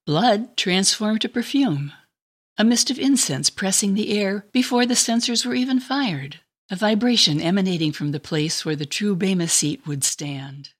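A woman reading a scripted dramatic narration in an expressive, storybook manner. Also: medium pitch, husky.

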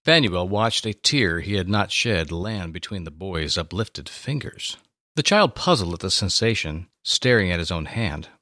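A man reading scripted dramatic narration with a theatrical, expressive delivery. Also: sits low, measured pace, no room sound, studio quality.

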